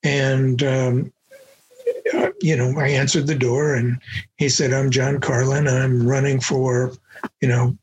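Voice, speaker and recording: An older man from Los Angeles, a retired professor, recalling his life in a reflective interview with many pauses and fillers. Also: mid-range voice, level tone, darkish tone, slightly rough, low-quality recording. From Los Angeles, United States. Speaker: guest, professor emeritus. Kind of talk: conversational interview.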